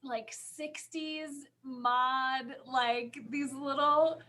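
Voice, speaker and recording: Podcast co-host, female, higher-pitched voice, gushing and emphatic, casual chat delivery. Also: fairly even intonation, extremely slow, sharp tone.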